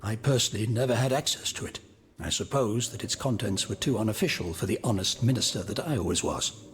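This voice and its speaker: A man's voice: on the low side, brisk, a little hiss or hum, close to an everyday voice. Man acting an anguished, formal minister. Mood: indignant, proud. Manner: formal, wordy, plaintive. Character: anguished, proud former French royal minister, mourning his wife. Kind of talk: video game cutscene acting.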